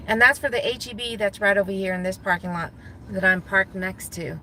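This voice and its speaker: A woman from Guam chatting casually to viewers from her car, thinking out loud and laughing easily. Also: medium pitch, steady tone, fairly quick, a little hiss or hum, poor audio. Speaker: gig delivery driver vlogger. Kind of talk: casual talking to viewers while driving. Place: inside a parked car.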